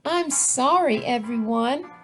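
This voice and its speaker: A storyteller plays a kind, sorrowful woman in a picture book. Her voice lifts high and plaintive, apologetic and slow, as a woman acts her out. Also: lively intonation, a bit bright, faint music behind, full character voice. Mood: sad. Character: kind, sorrowful woman who shares her homemade stew. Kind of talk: storybook character voice.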